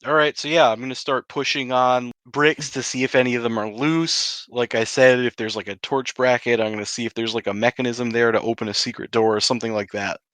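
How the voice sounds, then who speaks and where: Male tabletop player, bright forceful tone, very dry recording, casual and practical. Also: medium pitch, steady tone, fairly quick, hardly any pauses, dry recording. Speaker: player in a tabletop role-playing game. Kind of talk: casual improvised game chat.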